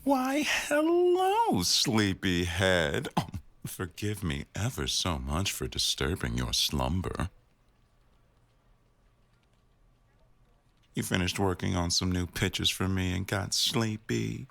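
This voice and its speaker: A man playing a teasing, bossy but doting newsroom boss in an intimate boyfriend roleplay audio, with a wry, unhurried delivery. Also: sits low, big pitch swings, creaky, fairly bright tone, no room sound, full character voice. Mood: playful sarcasm.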